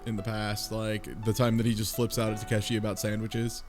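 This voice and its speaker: A man's voice: fairly low pitch, monotone, brisk, a little mellow, faint music behind. A man co-hosting a casual podcast chat, joking and riffing irreverently with some swearing. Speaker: book club podcast co-host.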